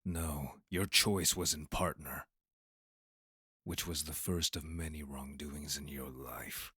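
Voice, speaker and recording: An actor playing a scornful young monster hunter, a haughty estranged brother, in an audio roleplay drama with a sneering, deliberate delivery. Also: male voice, rumbling low, steady tone, bright, forceful tone, slightly rough, no echo, studio quality, exaggerated voice. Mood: cold disgust.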